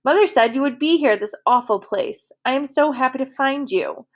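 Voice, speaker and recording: A woman voicing an upset young girl who is tearful, pleading and quick to anger. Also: high-pitched, voice pushed up, lightly acted.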